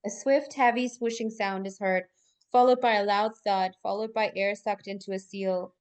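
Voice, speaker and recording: A woman's voice: medium pitch, steady tone, moderate pace, smooth. Woman narrating screenplay stage directions. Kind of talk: scripted narration, live table read.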